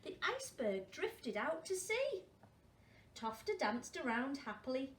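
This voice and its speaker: High, lilting, unhurried woman storyteller. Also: big pitch swings. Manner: questioning asides to young listeners. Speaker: primary school storyteller. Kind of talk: read-aloud storytelling for young children. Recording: roomy, distant mic, faint noise.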